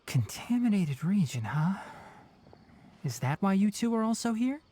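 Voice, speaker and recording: A calm, stern forest healer. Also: male voice, sits high, husky, exaggerated voice. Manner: brisk, level-headed and caring. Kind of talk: video game voice acting.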